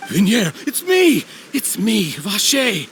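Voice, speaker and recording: An actor playing an obsessive middle-aged man pining for his lost love in a video game, emotional, halting and pleading. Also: sits high, wildly swooping pitch, vocal fry, fairly bright tone, a little hiss or hum, heavily acted. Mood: frantic hope. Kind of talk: dramatic video game voice acting.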